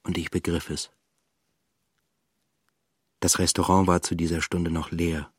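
Quiet brooding composer, radio play monologue, husky and pretty flat, dry close sound. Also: male voice, very deep voice, no echo. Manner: restrained, reflective. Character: introspective, reserved young male composer, quietly bitter. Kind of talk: first-person radio drama monologue.

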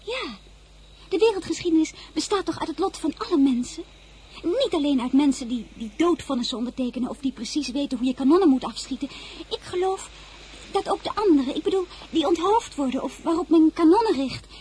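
A woman's voice: sits high, lively intonation, a little fast, dark tone, a little hiss or hum. An earnest, nervous young girl. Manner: hesitant, stammering when nervous. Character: young merchant's daughter, earnest, anxious. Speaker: lead actress and narrator. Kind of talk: radio drama acting.

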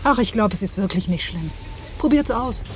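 An actress playing a friendly, informal young holiday acquaintance in a naturalistic radio drama. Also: female voice, medium pitch, animated, normal speed, lightly acted. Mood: casual. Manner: informal, switches into Portuguese to interpret. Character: friendly, casual young woman, helpful German holiday acquaintance. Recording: noisy cassette tape of radio play.

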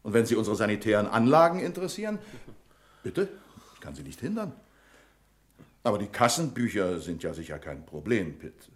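Pompous, animated bank manager, low voice. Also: male voice, a little fast, pauses often. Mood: officious. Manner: pompous, officious, delegating with relish. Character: self-important, suspicious male bank branch manager, fussy and talkative. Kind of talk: German radio play acting.

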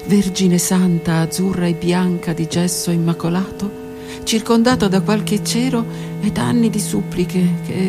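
Woman reading aloud in a gravelly hush. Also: on the low side. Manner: slow, intimate, hushed delivery with whispered words. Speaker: author reading her own book. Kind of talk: dramatic literary reading on radio. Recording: radio broadcast with faint music.

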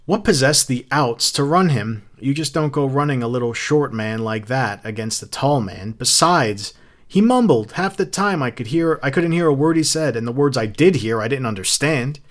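A man's voice: mid-range voice, expressive, normal speed, natural voice. A man voicing a shallow, opinionated housewife in a storybook reading, chatty, prim and disdainful. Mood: gossiping, scornful. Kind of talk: audiobook character acting.

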